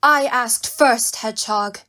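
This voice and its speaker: A woman acts out a proud cat princess in an audio drama. Her voice sits fairly high and moves at a slow, deliberate pace, regal and commanding, with formal, guarded phrasing. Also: sharp tone. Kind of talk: dramatic audio fan-fiction character acting.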